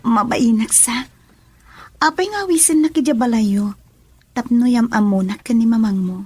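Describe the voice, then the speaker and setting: A woman's voice: a little high, normal speed, darkish tone, husky, soft background music. An actress playing a shy young woman being courted, bashful and hesitant, with an Ilocano Filipino accent in a radio drama. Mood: bashful, doubtful.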